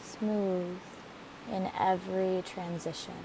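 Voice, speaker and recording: A woman leading a guided meditation in a gentle, soothing manner with deliberate phrasing. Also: fairly low pitch. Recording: steady hiss behind the voice. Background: hiss, rushing waterfall water.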